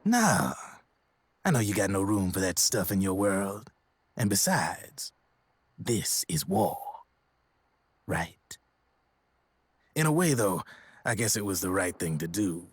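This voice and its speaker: An actor playing a streetwise, glib American arms dealer in a video game, with a slangy, theatrical storytelling manner. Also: male voice, low voice, sing-song, slow, pauses often, slightly rough, no room sound, exaggerated voice. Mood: casual, knowing. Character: streetwise, glib arms dealer telling grim war stories. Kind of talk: video game cutscene voice acting.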